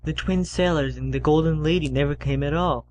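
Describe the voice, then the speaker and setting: A woman voicing a gentle, dreamy thirteen-year-old boy who speaks softly and earnestly. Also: low-pitched, brisk, slight background noise, voice pushed down, natural voice. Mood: sorrowful.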